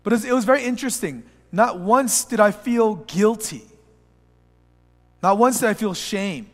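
A man in his thirties, a pastor, preaching a sermon while sick with the flu, talking in a rambling, personal way with many fillers and restarts and building to a higher, louder voice when he preaches. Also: normal speed. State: sick with a flu, voice a little rough. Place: church sanctuary. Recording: long church reverb.

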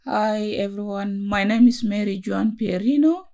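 Slow gravelly-voiced woman. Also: medium pitch, mellow. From South Sudan. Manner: slow, halting with many fillers. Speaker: guest, writer. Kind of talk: casual conversation.

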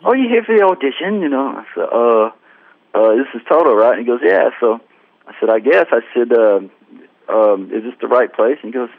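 A man's voice: medium pitch, big pitch swings, a little fast, vocal fry, no echo. A man tells a long story on a tinny phone line, rambling and self-deprecating. He acts out what people said along the way. The sound is dry and close. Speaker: guest musician. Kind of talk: casual storytelling interview.

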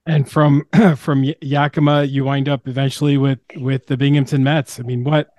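A man hosting a podcast, putting casual questions to a guest in a halting way with restarts. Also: medium pitch, level tone, a little mellow, dry recording, studio quality. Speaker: podcast host interviewing.

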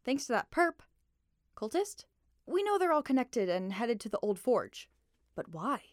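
A woman's voice: on the high side, very animated, measured pace, dry recording, studio quality. A woman playing a practical, teasing detective's sidekick in an audio drama, plain-spoken and a little exasperated. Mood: puzzling.

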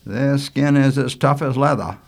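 A man's voice: medium pitch, measured pace, vocal fry, pitched above the performer's own voice. A man voicing an easygoing, teasing young coastal fellow with colloquial, folksy speech in a storybook reading. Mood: agreeable.

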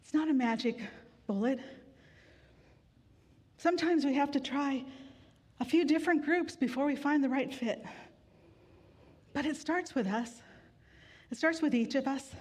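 A woman pastor giving a sermon in a relaxed, conversational way with gentle humor, weary from grief. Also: high voice, a little slow, long pauses. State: grieving, tired. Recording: long hall reverb.

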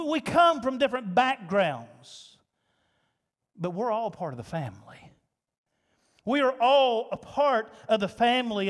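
A man preaching a sermon as pastor, swinging between loud emphasis and hushed asides. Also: extremely high pitch. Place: church sanctuary. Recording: long reverb of a church hall.

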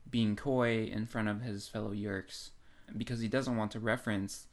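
A podcast co-host with a medium-pitched voice. The talk is conversational and informal, laying out explanations and readings of books as in a chat with a friend. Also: steady tone, moderate pace. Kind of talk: casual chat discussing books.

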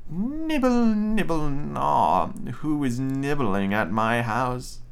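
A man's voice: mid-range voice, sing-song, takes it slowly, gravelly, smooth, heavily acted. A man voicing a wicked old witch from a fairy tale, falsely sweet and bossy. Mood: soft, sly. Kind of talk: fairy tale read aloud.

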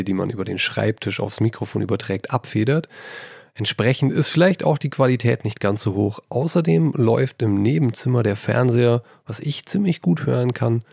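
A man hosts his solo writing podcast, a little hoarse from a cold, his voice low and full of gravel. He talks in a chatty, self-deprecating way with lively emphasis, holding the mic in his hand. The sound is dry and close, with no room echo. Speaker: podcast host. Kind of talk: casual solo podcast talk. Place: old childhood bedroom at his mother's house. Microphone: handheld microphone.

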